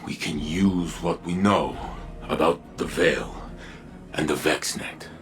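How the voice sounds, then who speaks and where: Very deep, gravelly robot warrior. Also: male voice, soft background music, exaggerated voice. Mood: solemn, resolved. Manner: grave, deliberate, resolute. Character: solemn, stoic male robot warrior, a battle-worn veteran. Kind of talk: video game character acting.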